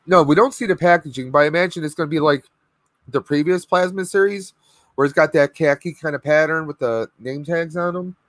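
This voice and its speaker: A man in his thirties hosting a casual live stream from home, a bit hoarse and clearing his throat, talking on a video call in a rambling way. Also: fairly high pitch, moderate pace, a bit bright, dry recording. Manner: chatty, rambling with fillers. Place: home room with collectibles, indoors. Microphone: webcam video call.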